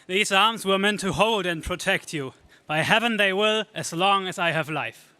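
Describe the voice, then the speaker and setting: A man's voice: high-pitched, normal speed, sharp tone, smooth, no room sound. A man playing a gallant young space hero, speaking earnestly and declaiming in 1930s radio serial style. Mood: tender, vowing.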